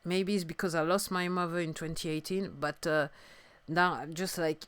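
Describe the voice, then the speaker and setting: A woman in her fifties from France, with a French accent and French as her first language, talking reflectively on her own podcast. She talks haltingly, searching for words as she goes. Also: on the low side, steady tone, smooth, dry recording. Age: 50s. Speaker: singer-songwriter podcaster. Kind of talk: reflective solo podcast talk.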